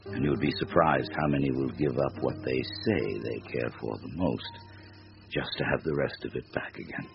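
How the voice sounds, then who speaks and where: A world-weary devil in a radio drama, courteous and philosophical. The voice is extremely low with a heavy gravelly creak. It comes through dull, muffled audio with music underneath. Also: male voice, moderate pace, noisy background. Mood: calm, knowing. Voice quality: very deep, gravelly. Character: Satan as a weary, courteous, wager-making old stranger.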